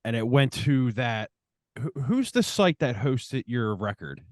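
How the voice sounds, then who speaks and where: A man's voice: mid-range voice, very animated, unhurried, no room sound, clean studio sound. A man co-hosting a podcast, telling a story in a laid-back way, full of "dude" and "man". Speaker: podcast co-host. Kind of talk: casual storytelling chat.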